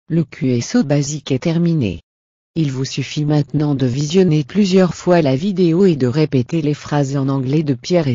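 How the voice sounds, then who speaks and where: A man's voice: fairly high pitch, dark tone, no echo. A man giving scripted instructional narration as the teacher of a lesson. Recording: dull, muffled, dry voice-over.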